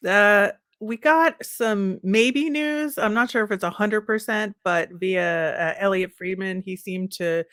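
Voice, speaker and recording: A woman's voice: mid-range voice, unhurried, few pauses, fairly bright tone, dry recording, clean studio sound. A woman co-hosting a sports podcast, talking casually about the game.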